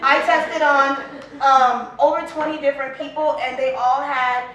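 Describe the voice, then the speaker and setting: Woman from Liberia, chatty founder, high voice, far-off echoey room. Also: a little slow, a little hiss or hum. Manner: chatty, animated, with restarts and quoted asides. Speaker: brand founder. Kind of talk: casual interview chat.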